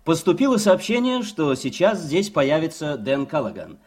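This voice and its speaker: An actor playing an eager, polite television election reporter in a radio play, announcing formally. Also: male voice, on the high side, wildly swooping pitch, moderate pace, a little mellow. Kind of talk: radio play acting as live broadcast.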